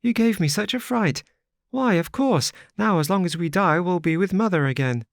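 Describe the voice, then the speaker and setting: A man's voice: expressive, normal speed, creaky, no room sound, clean studio sound. A man putting on a chirpy little pioneer girl. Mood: eager. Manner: high, bright childish voice.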